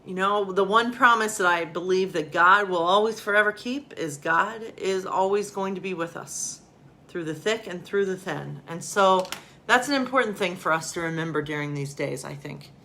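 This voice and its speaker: A woman's voice: fairly low pitch, normal speed, sharp tone, a little hiss or hum. Woman pastor doing bedtime stories for kids, chatty and informal, rambles a bit, talks to you like friends. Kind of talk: casual chat and storybook reading for children.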